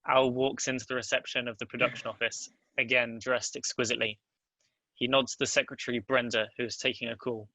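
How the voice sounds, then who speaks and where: A man's voice: mid-range voice, little pitch movement, fairly quick, bright, forceful tone, dry recording, rough recording. A man narrating stage directions at a script table read.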